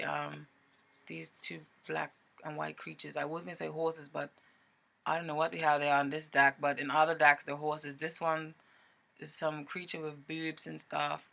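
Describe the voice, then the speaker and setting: A woman's voice: low voice, vocal fry, sharp tone. A woman hosting a tarot reading in a casual, chatty way, informal and playful with rambling asides and mild swearing.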